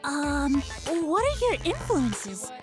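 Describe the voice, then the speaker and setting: A woman's voice: high voice, very animated, slow, full character voice. A woman voicing a shy, eager schoolgirl reporter in a motion comic, hesitant and awkward. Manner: hesitant, awkward, wide-eyed. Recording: voice over clear music.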